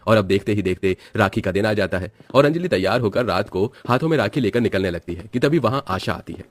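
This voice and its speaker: Low, fast male story narrator. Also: animated, no echo. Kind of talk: scripted horror story narration.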